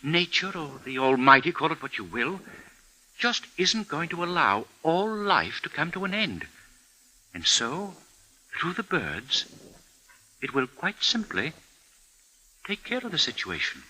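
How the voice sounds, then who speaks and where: A man's voice: fairly high pitch, very animated, measured pace, long pauses, bright, forceful tone, full character voice, rough recording. An actor playing an earnest, deferential male editor answering his superior in a 1950s radio drama. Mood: earnest, thoughtful.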